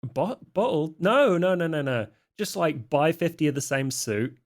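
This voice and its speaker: A man's voice: fairly high pitch, lively intonation, moderate pace. A man playing in a tabletop roleplaying game, bantering casually and improvising in character.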